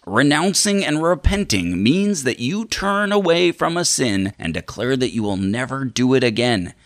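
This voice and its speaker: A solemn deliverance preacher played by a man reading aloud warns about the devil. The voice is creaky at mid pitch and swings widely, with theatrical, instructive emphasis. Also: big pitch swings, normal speed. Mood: earnest warning.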